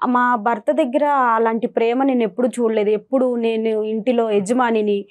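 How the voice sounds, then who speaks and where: Female talk show guest, thirties, high voice, giving a personal confession. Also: steady tone, normal speed, darkish tone. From Andhra Pradesh, India. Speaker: guest seeking advice.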